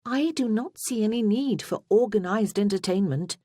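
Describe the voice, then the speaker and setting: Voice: medium pitch, animated, moderate pace, dark tone, no room sound, studio quality, voice pushed up, close to an everyday voice. A narrator voicing a self-assured, spirited young noblewoman, wry and genteel, in a period romance audiobook. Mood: wry, assertive.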